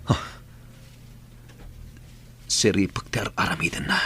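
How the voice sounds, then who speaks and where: Radio drama role: bossy bandit chief, Ilocano accent, lowish sharp voice, theatrical swings from mutter to bark. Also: male voice, unhurried, husky, full character voice. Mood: furtive narrating to himself. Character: gruff, bossy male leader of an armed village gang.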